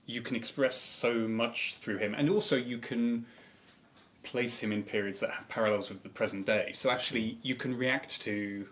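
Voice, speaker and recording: A man's voice: medium pitch, steady tone, moderate pace, fairly bright tone. A man, a writer, talking casually about his work in a promotional interview. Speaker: writer of an audio drama series.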